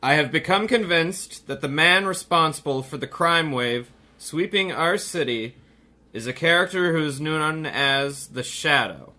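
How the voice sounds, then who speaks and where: Brief: man as an officious police chief, sharp and bright tone, slightly high, old-time radio. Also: animated, unhurried, heavily acted. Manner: officious, commanding speech. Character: stern police commissioner, middle-aged man. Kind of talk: 1930s radio drama acting.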